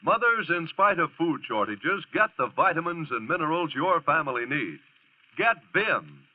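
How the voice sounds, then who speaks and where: Old radio commercial guy, very lively pitch swings, dry tinny narrow sound. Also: moderate pace, no echo. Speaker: commercial announcer. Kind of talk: 1940s radio advertisement read.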